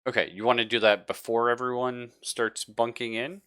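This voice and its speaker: A man's voice: medium pitch, fairly even intonation, normal speed, sharp tone, clean tone, dry recording. A man acting as game master, narrating a tabletop roleplaying game in an easygoing, conversational way and thinking aloud.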